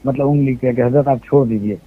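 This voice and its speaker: Husky man on a tinny phone line. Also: medium pitch, steady tone, fast talker. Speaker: phone interviewee giving his account. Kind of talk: explanatory phone conversation. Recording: muffled, tinny recorded phone call.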